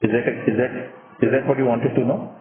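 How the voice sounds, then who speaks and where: A man's voice: medium pitch, fairly even intonation, fast, slight background noise. An Indian-accented man, a finance executive answering on an earnings call, phrasing hesitantly with frequent "you know". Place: conference call over phone. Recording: echoey, tinny conference phone line.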